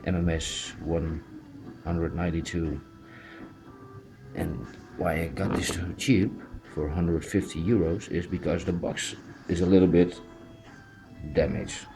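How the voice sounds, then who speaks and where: A man with a Dutch accent gives a casual, hands-on amateur review. His voice is very deep and his delivery is slow. He speaks informally and haltingly in English, which is not his native language. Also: fairly even intonation, music in the background. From Netherlands. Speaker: amateur collectible toy reviewer.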